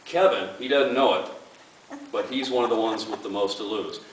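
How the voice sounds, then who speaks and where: A man's voice: lowish voice, moderate pace. A man running for office, giving an earnest campaign speech to a room of supporters and often repeating words as he starts a sentence. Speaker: political candidate. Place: meeting room with an audience. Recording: echoey room, far from the mic, faint hiss.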